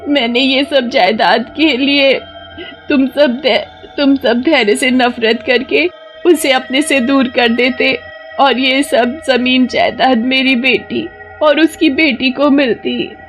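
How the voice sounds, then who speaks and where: A woman's voice: high-pitched, steady tone, slow, faint music behind, voice pushed up. A woman voicing a scheming, self-pitying old grandmother who whines and drawls, in a cartoon story. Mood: frightened confession.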